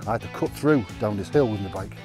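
A man's voice: on the low side, fast. A middle-aged man, bantering and swearing casually on a woodland hike, filmed on a handheld camera outdoors. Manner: joking, swears freely. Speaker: vlogger. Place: outdoors in a riverside wood. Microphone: handheld action camera. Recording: background guitar music.

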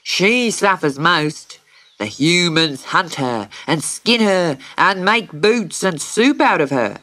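Woman playing a slow, solemn pelican prosecutor, storybook reading, lively pitch. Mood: grave. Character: pompous male pelican prosecutor, slow and grave.

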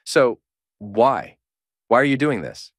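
A man's voice: medium pitch, big pitch swings, slow, gravelly, no echo, clean studio sound, pitched above the performer's own voice, full character voice. A man voicing a stubborn, protective teenage boy in a fanfiction audiobook, pressing insistently with questions. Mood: demanding. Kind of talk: podfic voice acting.

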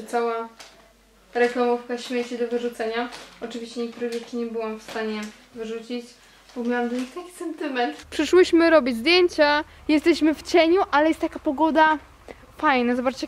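A young woman in her twenties from Poland, chatting casually to viewers in a vlog, gushing and full of quick asides, filmed on a handheld camera in her small bedroom. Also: a little high, a little hiss or hum. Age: 20s. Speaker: vlogger. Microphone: handheld camera held close. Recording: roomy camera audio.

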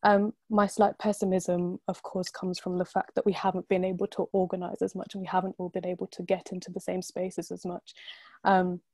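A woman in her twenties speaking as a panelist on a video call, making a measured, articulate argument through earphones from a bright room at home. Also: lowish voice, no echo. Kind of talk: online panel discussion. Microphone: earphone headset on a video call.

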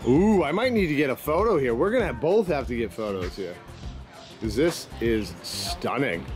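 A man's voice: medium pitch, sing-song, normal speed, music in the background. Chatty man with a Maine accent. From Maine, USA. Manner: chatty, rambling, lots of fillers and restarts. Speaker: vlog host. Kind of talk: casual outdoor vlog chat. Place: outdoors in a town.

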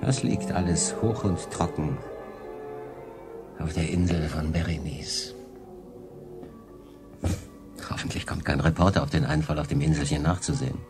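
An actor playing a weary, sardonic stranded solo sailor, brooding in a radio drama monologue with a dry manner. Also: male voice, extremely low pitch, steady tone, moderate pace, over music. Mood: resigned. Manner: brooding, dry, talking to himself.

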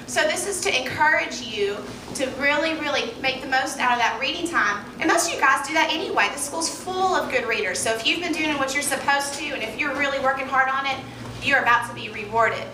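A young woman teacher with a fairly high voice addresses a school assembly, upbeat and full of questions for the kids. Her voice carries through a big echoey gym, picked up from a distance. Background noise runs underneath. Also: a little fast. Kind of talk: school assembly talk to children. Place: large school gym in front of students. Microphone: handheld mic, recorded by far camera. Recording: echoey gym, from far off, noisy.

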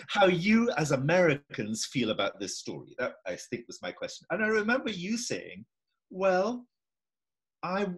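A man's voice: high-pitched, huge pitch swings, unhurried. Older Scottish man musing on a video call. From Scotland. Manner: musing, repeats himself, laughs easily. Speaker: interviewer. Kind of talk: casual video-call interview. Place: home room indoors.

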